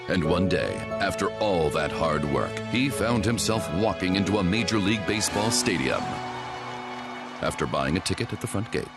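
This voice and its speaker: A man narrating a scripted radio commercial like a story, mock-earnest and dryly comic. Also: deep voice. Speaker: advertisement narrator. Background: loud music bed.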